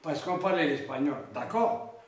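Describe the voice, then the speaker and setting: Older man in his 70s, from Oran, singer reminiscing, halting and repetitive, picked up from afar in an echoey room. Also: fairly high pitch, lively intonation. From Oran, Algeria. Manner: halting, repeats words, slow reflective delivery. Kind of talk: recalling memories in an interview. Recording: echoey room, distant mic, faint noise.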